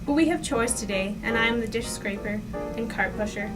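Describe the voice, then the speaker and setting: A woman's voice: fairly high pitch, brisk. A woman reading a child's letter home aloud in short, simple sentences. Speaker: staff member reading aloud. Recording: distant mic, guitar music behind. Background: guitar music.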